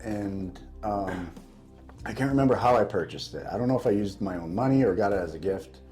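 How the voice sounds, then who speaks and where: A man's voice: lowish voice, lively intonation, fairly quick, a little mellow, faint music behind. A middle-aged American man co-hosting a podcast, chatting informally. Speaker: podcast co-host. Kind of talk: casual chat.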